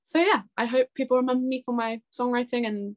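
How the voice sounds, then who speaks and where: A woman in her twenties speaking as an interview guest in a casual, chatty and expressive way. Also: on the high side, quick pace. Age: 20s. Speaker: interview guest, singer-songwriter.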